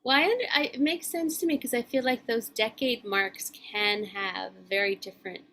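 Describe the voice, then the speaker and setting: A woman's voice: a little high, fairly even intonation, sharp tone. A woman in her forties co-hosting a podcast, talking reflectively and earnestly in casual chat. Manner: reflective, earnest, speaks in measured thoughts. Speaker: podcast co-host.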